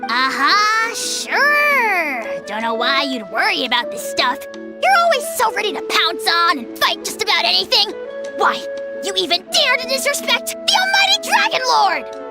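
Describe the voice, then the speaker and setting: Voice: very high-pitched, wildly swooping pitch, measured pace, exaggerated voice. Tiny self-styled dragon lord for a fantasy game: bombastic, bratty, wildly swooping delivery, music behind. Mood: smug mockery. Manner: pompous, taunting. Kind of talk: animated fantasy game acting.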